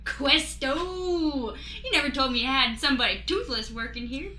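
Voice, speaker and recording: A woman's voice: high voice, expressive, moderate pace, pushed and bright. A woman playing a cheerfully naive, chuckly neighbor farmer with folksy drawled greetings in an improvised comic radio play. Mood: curious. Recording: echoey room with noise.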